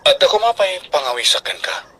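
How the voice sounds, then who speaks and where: A man playing an eager, soft-hearted young student suitor in an Ilocano radio drama, with an earnest, coaxing manner. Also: high voice, big pitch swings, brisk, slightly rough, exaggerated voice. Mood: shy, pleading. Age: young adult.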